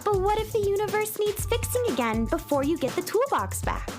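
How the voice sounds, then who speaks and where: A woman's voice: very high voice, sing-song, brisk. A woman playing a plucky, earnest little girl adventurer in a children's radio comedy. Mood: puzzled. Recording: music behind the voice.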